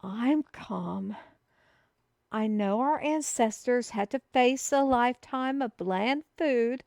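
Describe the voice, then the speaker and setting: Woman playing an excitable, clear-voiced fantasy herald. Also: on the high side, takes it slowly, no echo, clean studio sound. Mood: anxious, earnest. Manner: grandiose, formal archaic phrasing. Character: excitable, dramatic female fantasy messenger proclaiming a prophecy. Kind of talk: theatrical scripted roleplay monologue addressed to the listener.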